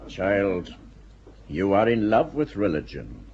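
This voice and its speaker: Stern, wily archbishop with a lively, rising and falling voice, dull recording, played by a man. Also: sits low, full character voice. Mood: grave. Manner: measured, authoritative. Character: wily middle-aged archbishop, worldly and stern. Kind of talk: audio drama acting. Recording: dull audio with faint hiss.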